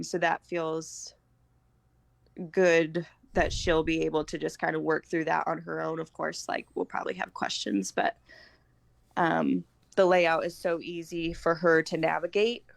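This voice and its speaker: A woman's voice: deep voice, creaky, dry recording. An American woman in her thirties chatting informally as a podcast guest, feeling her way through her thoughts as she talks. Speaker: podcast guest, homeschooling mother. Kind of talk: casual conversational chat.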